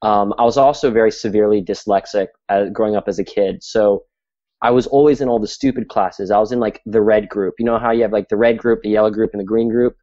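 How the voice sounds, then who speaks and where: A man's voice: fairly low pitch, fairly even intonation, quick pace, dark tone. Candid young man from Singapore, lo-fi. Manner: candid, laughs easily, many fillers. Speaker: guest filmmaker. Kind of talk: casual interview. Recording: dull lo-fi audio.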